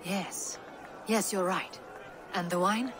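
An actress playing an earnest young Norse noblewoman in a video game, speaking in a hushed, urgent manner. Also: mid-range voice, fairly even intonation, slow, background noise, close to an everyday voice. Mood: tense. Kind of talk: video game dialogue acting.

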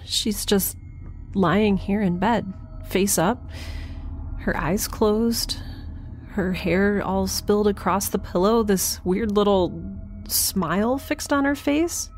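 A woman playing a nervous, wry young scientist who narrates a field log in a halting, conversational way for an audio drama. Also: mid-range voice, faint music behind, natural voice. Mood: shaken, grieving. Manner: halting, self-interrupting, conversational.

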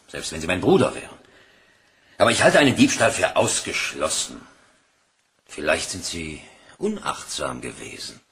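An actor playing an authoritative bank director, stern and deliberate, in a German crime radio play. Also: male voice, low voice, a bit bright, heavily acted. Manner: commanding, deliberate.

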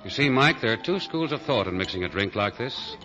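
An actor playing a suave, unflappable fugitive in a 1940s radio drama, with a breezy, teasing, urbane manner. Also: male voice, medium pitch, lively intonation, brisk, lo-fi sound, music in the background, close to an everyday voice. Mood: charming. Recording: 1940 radio broadcast.

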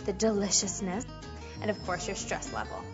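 A woman's voice: medium pitch, sing-song, normal speed, dull audio, loud music behind the voice. A young woman hosting a lifestyle show in a studio set, bubbly and friendly, on a lapel mic. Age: young adult. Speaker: host. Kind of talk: upbeat presenting.

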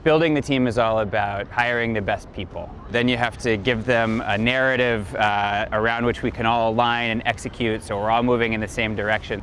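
A man's voice: fairly low pitch, steady tone, a little fast, hardly any pauses, a bit bright, slight background noise. A man in his thirties talking informally about his life and work, explaining as an executive in a casual interview. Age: 30s. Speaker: company chief executive being profiled. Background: background music.

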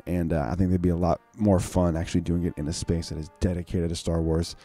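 A man hosting a casual solo podcast in a rambling, enthusiastic way, his voice sounding lower than usual. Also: flat delivery, quick pace, warm tone, soft background music. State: voice sounding unusually low today. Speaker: podcast host.

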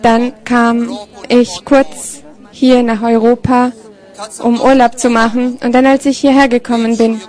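Slow, even-toned woman interpreting. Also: on the high side. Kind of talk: live consecutive interpreting of a testimony.

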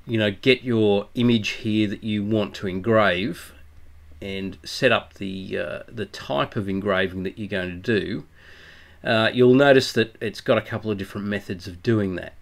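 A middle-aged man with a blocked-up flu voice, casually walking through engraving software with lots of ums and uhs. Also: on the low side, unhurried, creaky. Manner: relaxed, hesitant, many ums and uhs. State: congested from flu. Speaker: channel host demonstrating laser engraving software. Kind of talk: casual tutorial walkthrough. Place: workshop office, indoors.

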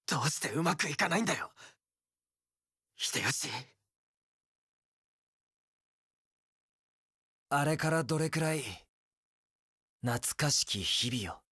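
An actor playing a carefree, romantic young wandering warrior with a tender streak in an action game, theatrical, breezy and poetic. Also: male voice, a little high, expressive, normal speed, pauses often, pushed and bright, husky, no room sound, studio quality, full character voice. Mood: wistful. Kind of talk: Japanese action game voice acting.

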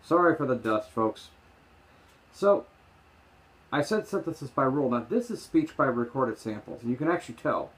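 A man with a mid-pitched voice gives a casual, unscripted explanation. He meanders and halts as he goes, offering his opinions while demonstrating. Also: expressive, moderate pace, breathy edge. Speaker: vlogger demonstrating screen reader voices. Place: small room indoors. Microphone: handheld phone, later lying on desk.

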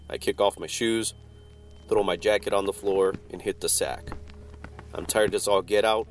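A man playing a world-weary private detective, narrating in a wry, terse old-time radio noir style. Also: low voice.

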